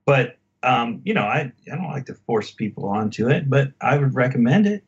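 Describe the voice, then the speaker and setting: A man in a casual album discussion as a podcast guest, speaking haltingly and often circling back to rephrase. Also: mid-range voice, big pitch swings, highs cut off.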